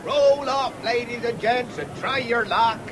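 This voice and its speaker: Fairground stallholder: English, high, brisk hawking patter with stretched calls, 1970s radio drama amid loud fair noise. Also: male voice. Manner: barker's drawn-out sales calls. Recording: noisy old radio broadcast, outdoor fairground din.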